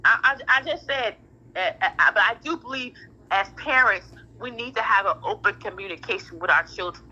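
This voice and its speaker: A woman's voice: medium pitch, brisk, pushed and bright, slightly rough. A middle-aged woman talking bluntly and emphatically in a heated casual phone conversation, often repeating herself and swearing. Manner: emphatic, repetitive, blunt with profanity. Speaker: parent in a phone discussion. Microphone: phone call. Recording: muffled telephone-like audio.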